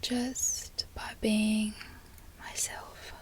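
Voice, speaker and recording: A woman with an Australian accent, an ASMR creator softly whispering repeated trigger words. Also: medium pitch, flat delivery, extremely slow.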